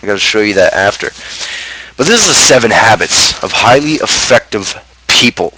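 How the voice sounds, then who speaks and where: A man with a North American accent speaks in a fairly low voice. He talks like the host of a casual online stream, loose and rambling. His delivery is blunt and slangy, with a crude edge. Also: lively intonation, normal speed, noisy background. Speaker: host of a gaming channel's talk show. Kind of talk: casual livestream chat, book review.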